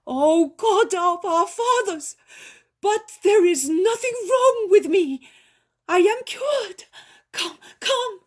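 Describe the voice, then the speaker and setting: A woman voicing a thin, grey-haired old woman who speaks in high, wavering, breathy cries. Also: very animated, slow, long pauses, a little mellow, full character voice. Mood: overjoyed. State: feverish and weak at first.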